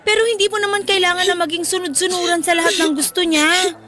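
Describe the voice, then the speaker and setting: A woman's voice: very high-pitched, steady tone, quick pace, music in the background. An actress playing a blunt, protective young woman scolding as a best friend, in Filipino radio drama with a Filipino accent. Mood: indignant, scolding.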